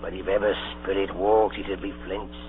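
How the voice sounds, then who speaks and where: An actor playing a gruff, gloomy, superstitious old pirate in a radio drama. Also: male voice, low-pitched, little pitch movement, moderate pace, slight background noise, natural voice. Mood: gloomy, fearful. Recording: old tinny radio broadcast, music behind.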